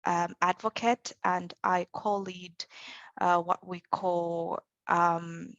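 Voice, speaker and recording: A woman in her thirties from Zambia, speaking on a panel in a relaxed, thoughtful way with many fillers. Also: lowish voice, slow, no echo, muffled recording. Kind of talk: conversational talk.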